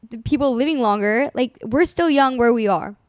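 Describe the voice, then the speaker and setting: High-voiced young woman chatting casually. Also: normal speed, no room sound, studio quality. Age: 20s. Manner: rambling, filler-heavy, laughs often. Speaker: podcast co-host. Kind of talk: casual chat.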